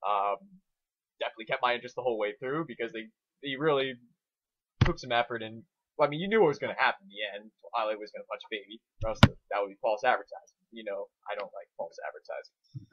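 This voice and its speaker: A man's voice: fairly low pitch, fast talker, muffled recording. A man co-hosting a casual review, rambling through his opinions with plenty of crude swearing. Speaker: co-host reviewing fan fiction.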